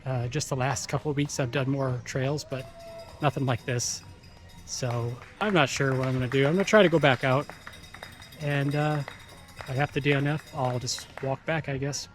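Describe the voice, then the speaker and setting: A tired, hoarse man talking to his camera outdoors on a trail during a long race, weary and self-mocking, swearing freely. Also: mid-range voice, steady tone, normal speed, faint music behind. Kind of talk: casual self-filmed race vlog. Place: outdoors on a hot wooded trail.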